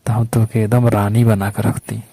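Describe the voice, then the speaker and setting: A man's voice: fairly low pitch, flat delivery, normal speed, dark tone, husky. A young man with a Bhojpuri accent chatting casually on a phone call in a coaxing, persistent way. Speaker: caller. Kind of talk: casual phone chat with an ex-lover.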